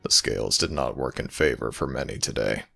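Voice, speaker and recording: An ancient death god with an extremely low, creaking voice over clear background music. He is stern and weary, speaking in formal, measured commands for an audio roleplay. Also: pushed and bright, heavily acted. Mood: solemn. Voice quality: gravelly. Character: Anubis, stern, weary male god of the dead. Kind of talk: ASMR audio roleplay acting.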